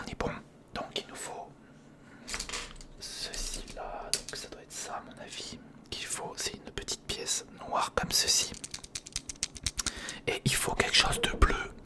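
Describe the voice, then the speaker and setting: An ASMR creator whispering casual step-by-step commentary on a hands-on build, murmuring directly to the listener.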